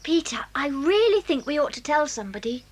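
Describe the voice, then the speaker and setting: This is an earnest little girl in a storybook drama, voiced by a woman. Her voice is very high and rises and falls. She sounds serious about the matter. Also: very animated, brisk. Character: young girl club member, earnest. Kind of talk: audio drama for children.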